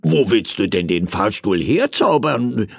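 A man's voice: on the low side, big pitch swings, a little slow, vocal fry, slightly rough, no room sound, studio quality, heavily acted. A man voicing a small, childlike teddy bear in a storybook, eager and plaintive. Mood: matter-of-fact.

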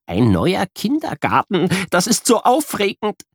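A man voicing a cheeky, bossy invisible friend in a children's radio story, teasing and impatient, in a pitch well above his own and with a very lively swing. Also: unhurried, husky, no echo, studio quality, heavily acted.

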